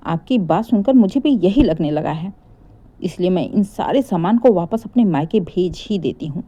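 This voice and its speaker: A woman voicing a polite but fed-up young daughter-in-law with a calm, resolved manner in a family story. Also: fairly low pitch, normal speed, husky. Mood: sweetly pointed.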